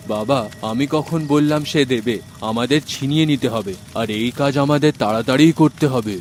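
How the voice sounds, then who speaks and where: A man voicing an old male holy man who gives measured, knowing advice in a cartoon dub. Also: mid-range voice, soft background music, natural voice. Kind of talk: cartoon dubbing.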